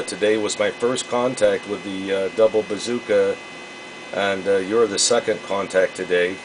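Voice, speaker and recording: A man chatting casually as an amateur radio operator, speaking haltingly with frequent pauses. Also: fairly low pitch, noisy background. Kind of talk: casual radio contact chat.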